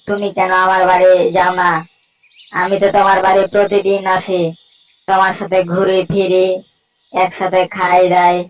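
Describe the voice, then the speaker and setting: A woman voicing a friendly but selfish tiger in a cartoon fable, speaking in a coaxing, measured way. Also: on the low side, close to an everyday voice, rough recording. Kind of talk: cartoon character voice acting.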